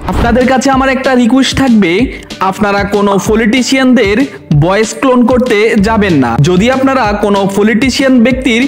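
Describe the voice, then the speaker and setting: A man's voice: very high-pitched, fast. A man presenting a tutorial, narrating each step as he goes along. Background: background music.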